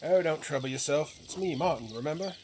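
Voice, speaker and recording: A man's voice: fairly high pitch, fairly quick, noisy background, lightly acted. A man voicing a brusque, wry young village man from a game, offhand and dry. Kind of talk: reading game dialogue aloud.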